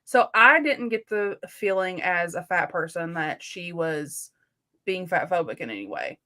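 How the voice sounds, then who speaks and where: A woman in a casual book discussion as a co-host, talking informally with slangy phrasing and softened opinions. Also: fairly low pitch, lively intonation, unhurried.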